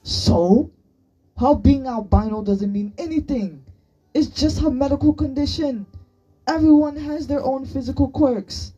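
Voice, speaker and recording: A woman voicing a stern, exasperated young boy in a story, forceful and scolding, slightly distant from the microphone. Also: high-pitched, steady tone, brisk, hardly any pauses, muffled recording, voice pushed up. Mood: angry, scolding.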